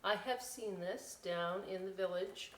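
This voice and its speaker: A middle-aged woman reading a children's picture book aloud in an expressive, folksy way, pausing for chatty, simple explanations. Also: mid-range voice. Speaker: library storytime host reading aloud. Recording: roomy echo, distant microphone.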